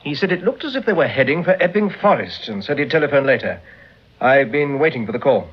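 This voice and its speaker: An actor with a British accent playing a dutiful, deferential police inspector in a vintage radio drama. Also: male voice, fairly high pitch, big pitch swings, quick pace, husky. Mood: earnest, reporting. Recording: dull old radio drama recording.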